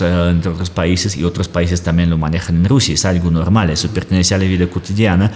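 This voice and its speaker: A man's voice: deep voice, a little mellow, long reverb tail. A man, talking as a guest analyst in an explanatory conversation. He searches for words and often checks in with a questioning aside.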